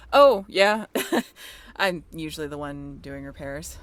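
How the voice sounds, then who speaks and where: A woman's voice: low voice, expressive, slow pace, no echo. A woman playing a friendly, handy young sailing ship crew member in an intimate audio roleplay, talking in a casual, chatty way. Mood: relaxed, modest.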